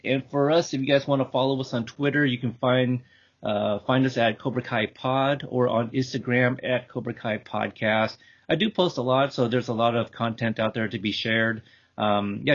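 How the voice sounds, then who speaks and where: Male podcast host, halting, lo-fi sound. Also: mid-range voice. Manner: halting, rambling. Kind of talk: casual, unscripted show wrap-up. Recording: dull, lo-fi call audio.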